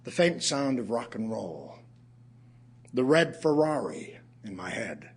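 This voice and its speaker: An actor playing an ailing middle-aged writer, reciting his poems reflectively in an audio theatre piece, with a husky voice and emphatic pauses. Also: medium pitch, very animated, heavily acted. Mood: reflective, urgent. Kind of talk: dramatic audio theatre poetry reading.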